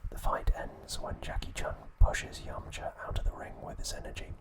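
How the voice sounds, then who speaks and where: Guy doing asmr narration, all breathy whispering, soft short phrases. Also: moderate pace. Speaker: ASMR video host.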